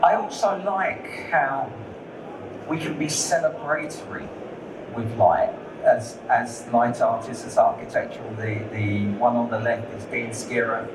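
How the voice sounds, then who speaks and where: A man talking as a lighting designer on a conference panel. He speaks candidly and conversationally, repeating words as he gathers his thoughts. The sound is distant and roomy, with a steady noise underneath. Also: medium pitch, unhurried. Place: conference hall. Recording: echoey hall, distant mic, noisy.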